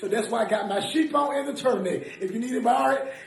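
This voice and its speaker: Man, raspy, excitable, high voice, echoey room, faint music behind. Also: fast talker. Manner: excitable, laughing, shouting catchphrases. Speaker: weather segment presenter. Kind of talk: comic weather forecast.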